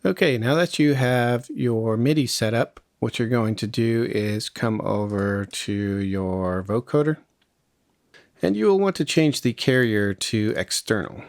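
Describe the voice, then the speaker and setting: A man's voice: mid-range voice, measured pace, no room sound, studio quality. A man presenting a music production tutorial in a casual, conversational, step-by-step way. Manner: informal, conversational instruction.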